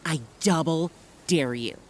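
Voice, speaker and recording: A woman voicing a bold, bossy young girl, an older sister in an animated cartoon. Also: sits low, slow. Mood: taunting. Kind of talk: cartoon voice acting.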